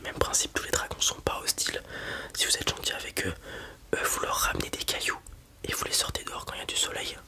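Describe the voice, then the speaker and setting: A man's voice: fast talker, pushed and bright. Whispering man, ASMR roleplay. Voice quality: breathy whisper. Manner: casual, colloquial, hesitant explaining. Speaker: ASMR roleplayer playing a dragon breeder.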